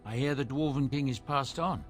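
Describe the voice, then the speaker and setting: A man's voice: medium pitch, moderate pace, faint music behind, natural voice. A man voicing a genial middle-aged dwarf merchant in a fantasy game, with an obsequious salesman's courtesy. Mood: confiding, gossipy.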